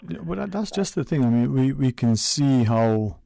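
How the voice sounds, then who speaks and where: A man hosting a radio talk show in a studio, speaking in a hesitant, unhurried way with many fillers. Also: medium pitch, animated, gravelly, warm tone, dry recording.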